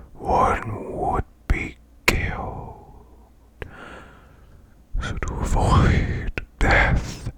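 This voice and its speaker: A man voicing a demon boss who reads eerie folklore as bedtime ASMR in a slow, hushed, growling rasp with long pauses. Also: very high voice, exaggerated voice. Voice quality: growling, gravelly, breathy rasp.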